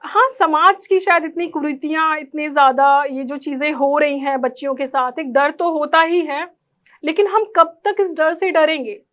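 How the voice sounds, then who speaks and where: A woman's voice: sits high, fairly even intonation, moderate pace, fairly bright tone. A woman giving formal interview answers in an earnest manner, mixing in English words. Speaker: interview guest, architect and NGO volunteer.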